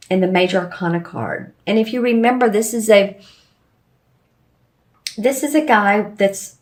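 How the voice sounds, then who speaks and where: Slightly hoarse woman reading tarot. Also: mid-range voice. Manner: meandering, with frequent fillers and restarts. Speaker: tarot reader. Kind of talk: casual spoken tarot reading to camera.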